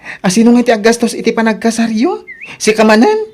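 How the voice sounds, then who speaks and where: A woman's voice: fairly high pitch, very animated, fast talker, a little mellow. A woman with a Filipino accent playing a nagging, skeptical older sister in an audio drama. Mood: scolding. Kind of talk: Ilocano radio drama acting.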